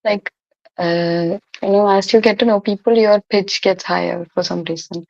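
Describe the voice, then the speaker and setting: A teenage girl with a deep voice and a sore throat, sarcastic and deadpan, who swears casually. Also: moderate pace, gravelly. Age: late teens. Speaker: video chat stranger. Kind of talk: casual chat. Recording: lo-fi video chat audio.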